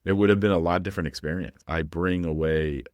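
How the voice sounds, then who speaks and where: Very deep-voiced man with a cold. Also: fairly even intonation, brisk, a little mellow, no echo. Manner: rambling, restarts. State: has a cold. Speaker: podcast co-host. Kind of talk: casual chat.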